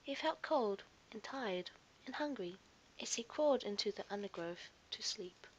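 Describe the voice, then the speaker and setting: A woman's voice: mid-range voice, lively intonation, normal speed, lo-fi sound, a little hiss or hum. A woman narrating a scripted children's story expressively, as a storyteller to young listeners.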